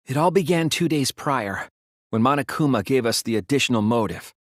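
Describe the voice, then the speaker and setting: A man voicing an earnest, self-doubting teenage boy detective in a dramatic video game, speaking in a deliberate, explanatory way. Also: mid-range voice, a bit bright, dry recording, studio quality. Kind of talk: dramatic English video game voice acting, deductive monologue.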